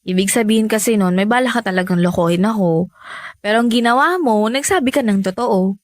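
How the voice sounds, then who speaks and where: A woman's voice: medium pitch, a little fast, dry recording, studio quality, natural voice. A Filipino woman acting in a radio romance drama as a lovestruck young woman, trusting yet hesitant and defensive about her affair.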